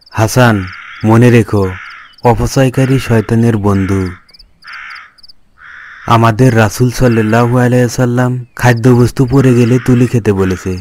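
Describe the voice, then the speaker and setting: A man's voice: medium pitch, a little mellow, a little hiss or hum, close to an everyday voice. A man playing a pious, patient father who instructs his young son in an Islamic moral cartoon. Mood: admonishing. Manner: instructive, admonishing.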